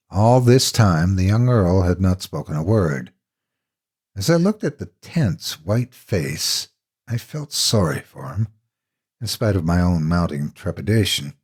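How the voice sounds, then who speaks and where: A man narrating a scripted horror story in a theatrical, deliberate and ominous manner. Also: fairly low pitch, a little mellow, husky.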